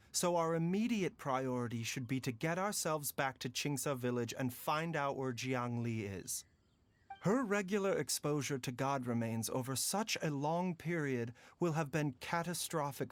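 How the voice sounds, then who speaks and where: A man playing a calm, courteous doctor in a video game, speaking in a measured, formal way with grave concern. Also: a little high. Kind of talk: scripted video game voice acting. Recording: background music under the voice.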